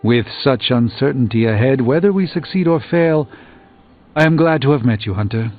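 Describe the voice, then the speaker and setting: Casting: male actor for grave wizard mentor in a game, mid-pitched, formal and philosophical, heard through a narrow tinny band. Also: expressive, moderate pace, close to an everyday voice. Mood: solemn. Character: grave, learned, reassuring adult male sorcerer. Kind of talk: scripted video game character dialogue. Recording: tinny band-limited audio with music.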